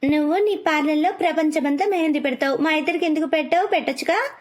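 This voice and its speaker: A woman voicing playful, chatty young twin girls in an animated children's story, with a childlike, pleading and teasing manner. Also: high-pitched, fast talker, lightly acted. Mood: eager, pleading. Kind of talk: animated moral story dubbing.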